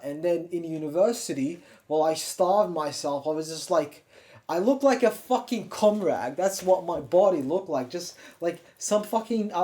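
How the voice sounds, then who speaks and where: A young man from Turkey who speaks English with an American-sounding accent, in a slightly higher-than-usual register. He talks in a loose confessional monologue, blunt and full of swearing, restarting his sentences as he goes. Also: a little mellow. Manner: blunt and profane, rambling with many restarts. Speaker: self-improvement YouTuber.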